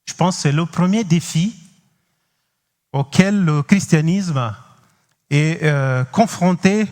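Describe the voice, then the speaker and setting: A man from Cape Verde with a Cape Verdean accent, French not his first language, preaching as a guest pastor, halting with many pauses and speaking straight to the congregation. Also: fairly high pitch, darkish tone, long reverb tail. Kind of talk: sermon. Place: large church hall.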